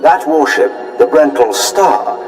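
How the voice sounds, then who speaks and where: An actor playing a smug, menacing imperial fleet commander in a video game, pompous and self-satisfied. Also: male voice, a little high, expressive, slow pace, darkish tone, faint music behind, rough recording. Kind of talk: video game character acting.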